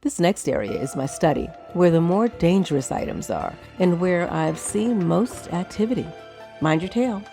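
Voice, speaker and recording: A woman plays a self-possessed wizard in a fantasy audio drama. She speaks formally, with dry, teasing superiority. Her mid-range voice moves in expressive, lively swings over a faint bed of music. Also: fairly low pitch, normal speed, gravelly. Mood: matter-of-fact. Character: wry, haughty, composed female wizard who owns a tower.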